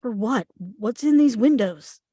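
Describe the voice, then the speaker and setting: A woman's voice: a little high, expressive, a little slow, breathy edge, no room sound. A woman reading the part of Carrie, a skeptical, bossy girl who keeps firing back questions. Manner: questioning, sharp retorts. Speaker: table read actor. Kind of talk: amateur table read.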